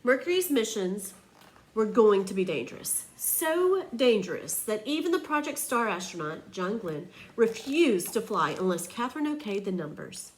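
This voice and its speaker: A woman reading a children's picture book aloud like a teacher, with expressive, emphatic storytelling. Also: medium pitch, moderate pace, low-quality recording.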